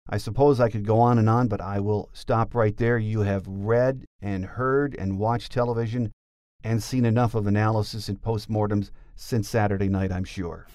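A man's voice: lowish voice, fairly even intonation, normal speed, darkish tone, no room sound, clean studio sound. A man hosting a sports podcast, delivering scripted commentary as a plain-spoken, opinionated fan.

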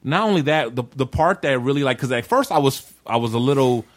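Guy hosting a casual podcast, middle pitch, chatting about celeb news. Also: lively intonation, fairly quick. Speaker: podcast host. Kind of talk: casual group chat about entertainment news.

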